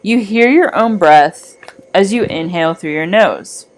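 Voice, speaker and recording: A woman reading a scripted guided meditation in a soothing, deliberate manner with long pauses. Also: on the low side, lively intonation, a little hiss or hum.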